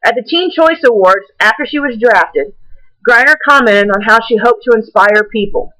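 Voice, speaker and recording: A woman reading a prepared essay aloud in a deliberate, measured way, now and then stumbling over a word. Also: on the high side, expressive, pushed and bright, rough recording.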